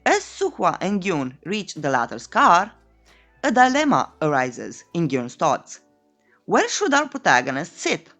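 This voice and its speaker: Woman narrating with lively, swooping pitch. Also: measured pace, soft background music. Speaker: narrator of a comic recap channel. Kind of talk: scripted story recap narration.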